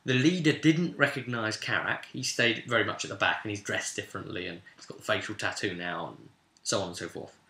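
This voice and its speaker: Bright, forceful man telling game stories. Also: on the low side, brisk. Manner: rambling, emphatic, acts out quoted remarks. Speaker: game master recapping a session. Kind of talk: animated casual storytelling of a tabletop roleplay session. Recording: echoey, reverberant room.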